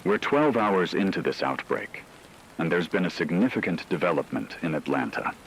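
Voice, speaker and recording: A calm, grave news anchor acted by a man with a low, deep voice delivers formal, factual bulletins in an apocalyptic radio drama. His delivery is measured and scripted, over a faint bed of rainy broadcast noise. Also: gravelly, exaggerated voice, rough recording.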